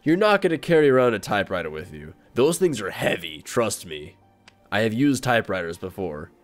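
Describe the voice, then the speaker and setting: Lively low-voiced man rambling over gameplay. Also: fairly quick, soft background music. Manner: self-deprecating, wry. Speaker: video game let's player. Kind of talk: casual, rambling game commentary.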